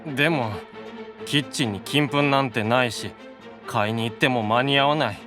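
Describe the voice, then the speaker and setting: A man's voice: medium pitch, normal speed, music in the background, close to an everyday voice. A man playing an earnest, gluttonous young demon brother in an anime audio drama, sincere and plaintive. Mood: despairing.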